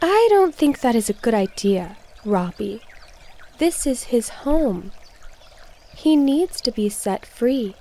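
A woman voicing a cheerful, gently firm female science teacher in a children's storybook, emphatic and instructive. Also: a little high, sing-song, slow, exaggerated voice.

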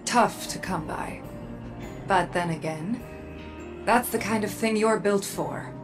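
An actress playing a proud, guarded robot armorer in a video game, speaking in a measured, cool, disdainful manner. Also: female voice, medium pitch, close to an everyday voice. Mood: cool, intrigued. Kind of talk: video game character acting. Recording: game music under the voice.

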